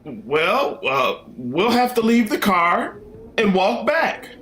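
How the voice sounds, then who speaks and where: An actor as a middle-aged family father from an old-time radio comedy. He speaks slowly with swooping, animated pitch, soothing and folksy, hesitating as he keeps everyone together. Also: soft background music, heavily acted. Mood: firm, harried. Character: cheerful reassuring middle-aged father, flustered but soldiering on.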